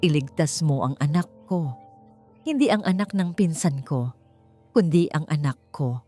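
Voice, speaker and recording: A commanding businessman voiced by a woman storyteller speaks with calm, urgent firmness. It is romance audio drama delivery, with faint music beneath. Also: low-pitched, a little slow. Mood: concerned, intent. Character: commanding male CEO, calm but firm.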